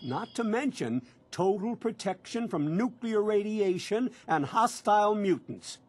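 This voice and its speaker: Casting: ingratiating video game salesman, high voice, swooping lively delivery, effusive and pushy. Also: a little slow, a little hiss or hum. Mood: cheerfully reassuring. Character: eager, fast-talking male door-to-door salesman, pushy and cheerful. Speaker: door-to-door vault salesman.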